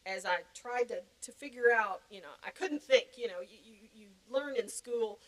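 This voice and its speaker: A woman's voice: fairly high pitch, normal speed, fairly bright tone, rough recording. A middle-aged woman giving a personal testimony as a podcast guest, talking conversationally and earnestly and repeating phrases for emphasis.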